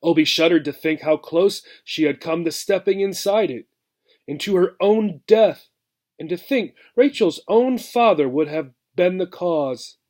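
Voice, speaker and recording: A man reading a children's book aloud expressively, as a teacher would, pausing to explain. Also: sits high, darkish tone. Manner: explanatory, checks in with "right".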